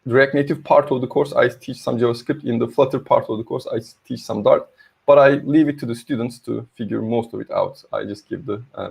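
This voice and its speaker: Gravelly man with a Turkish accent. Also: medium pitch, a little mellow. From Turkey. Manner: hesitant, many fillers, friendly. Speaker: conference speaker, university lecturer. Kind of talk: online tech talk presentation. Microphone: video call.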